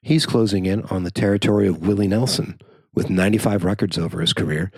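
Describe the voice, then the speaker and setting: Male narrator for a written arts profile. Low register, dry close sound. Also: quick pace, husky, dry recording. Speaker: journalist narrator.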